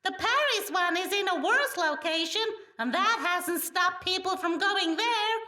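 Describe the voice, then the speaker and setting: Squeaky entertainer creature, extremely high, bright, scolding, audio drama. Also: female voice, a little slow, full character voice. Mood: matter-of-fact. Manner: emphatic, scolding. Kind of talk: fantastical audio play acting. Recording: roomy, distant microphone.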